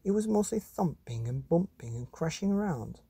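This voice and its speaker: A man's voice: a little high, expressive, moderate pace, gravelly, dark tone, breathy edge, dry recording. A man reading a children's bedtime story expressively as a storyteller.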